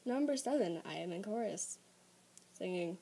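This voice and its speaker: A teenage girl chatting casually into a laptop webcam at night, soft-spoken, giggly and a little hesitant. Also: mid-range voice, expressive, normal speed, husky. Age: teens. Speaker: vlogger sharing facts. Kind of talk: casual late-night vlog. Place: small blanket fort indoors at night. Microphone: laptop webcam close up.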